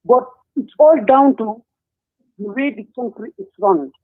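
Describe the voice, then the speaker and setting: A man, speaking as a panel guest in a political discussion, earnest and deliberate with frequent hesitations. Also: very high-pitched. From Gambia. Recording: tinny phone line.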